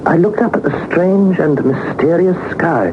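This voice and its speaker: Guy acting earnest young explorer in a 60s radio play, highish expressive voice, noisy muffled tape. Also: a little slow, natural voice. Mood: reflective, wondering. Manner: articulate, expressive, formal storytelling. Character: earnest, inquisitive young nephew on an expedition. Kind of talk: 1960s radio drama acting and narration.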